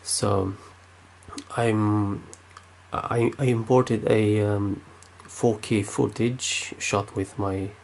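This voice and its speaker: A man's voice: on the low side, fairly even intonation, extremely slow, gravelly, a little mellow, a little hiss or hum. A man with a Romanian accent, speaking unscripted with many fillers and restarts while demonstrating something at his desk. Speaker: tech video maker.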